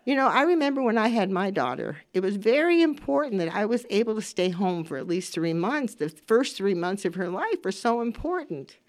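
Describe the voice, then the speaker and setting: A woman's voice: mid-range voice, very animated, a little fast, hardly any pauses. A woman in her seventies hosting a talk radio show, speaking casually and emphatically, repeating phrases for stress as she gives her opinions. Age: 70s. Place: radio studio.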